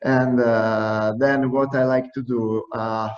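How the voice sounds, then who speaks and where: A man in his thirties from Italy introducing himself in an online meeting, slow and hesitant. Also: mid-range voice, little pitch movement, darkish tone. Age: 30s. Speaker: meeting participant. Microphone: video call. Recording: dull echoey video call audio.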